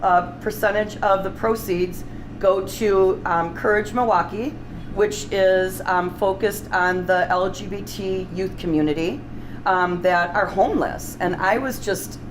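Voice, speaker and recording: A middle-aged woman hosting a cooking series in a casual, chatty, enthusiastic, unscripted way. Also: on the low side. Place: restaurant kitchen, indoors. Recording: clearly noisy kitchen ambience.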